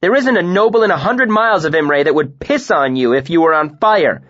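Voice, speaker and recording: A man voicing a proud, sharp-tongued young woman who speaks with biting scorn. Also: fairly high pitch, animated, normal speed, breathy edge, no room sound, dull audio, pitched above the performer's own voice. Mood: scornful anger.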